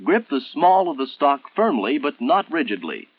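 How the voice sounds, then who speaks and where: An American man narrating a 1960s military training film in a formal, measured, instructional manner, on an old film soundtrack. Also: a little high, very animated, slightly rough, dry recording, phone-quality sound, heavily acted.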